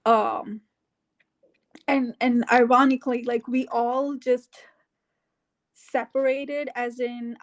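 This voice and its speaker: Hesitant Indian woman telling her story. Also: on the high side. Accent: Indian English. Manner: hesitant, many fillers and restarts. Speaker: interviewee. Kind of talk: casual conversational interview.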